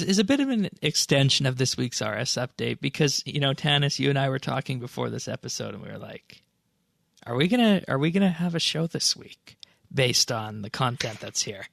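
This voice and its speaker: A man hosting a podcast in casual discussion, explaining things in a measured, somewhat hesitant way. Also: medium pitch, creaky, bright, forceful tone, no echo, clean studio sound. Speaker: podcast host.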